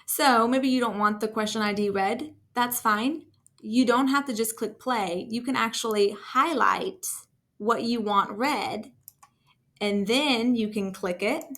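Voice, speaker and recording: A woman talking through a casual screen-share software tutorial as a trainer, in an informal, enthusiastic and encouraging way. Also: on the high side, measured pace. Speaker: school district technology trainer.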